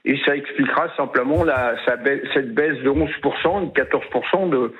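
A man's voice: mid-range voice, moderate pace. A male caller giving his opinion on a radio show, talkative and full of hesitations. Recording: phone line.

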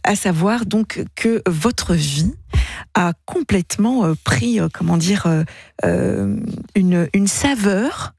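Woman running a call-in show, halting and kind, a bit breathy, close dry mic. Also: mid-range voice, dry recording. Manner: gentle, hesitant, teasing. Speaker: radio host. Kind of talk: late-night phone-in hosting. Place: radio studio.

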